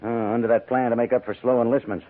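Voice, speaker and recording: An actor playing a wary, wry army major in command of a fort, in 1950s radio western style, with a husky voice. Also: male voice, medium pitch, little pitch movement, fast talker, close to an everyday voice. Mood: brisk, skeptical. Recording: tinny 1950s radio broadcast recording.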